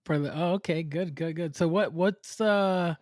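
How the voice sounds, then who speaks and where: A man's voice: a little high, normal speed, a little mellow, no echo, clean studio sound. A man hosting a casual podcast interview, hyped and playfully exaggerating as he repeats himself. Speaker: podcast host.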